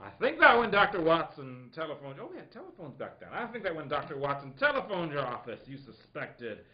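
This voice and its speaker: A man playing a smug, playful detective in a comedic live radio mystery, hamming it up and halting for asides. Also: fairly high pitch, wildly swooping pitch, highs cut off. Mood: expository. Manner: hammy, halting, breaks into ad-libbed asides.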